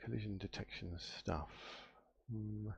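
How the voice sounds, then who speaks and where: A man with a somewhat low voice and a gravelly edge, a little nasal from a cold. He talks through his game code on a live stream in a relaxed way, muttering, trailing off and spelling things out as he hunts through it. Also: a little slow, dry recording, lo-fi sound. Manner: muttering to himself, trailing off and spelling words out while searching. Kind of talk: casual thinking-aloud coding stream.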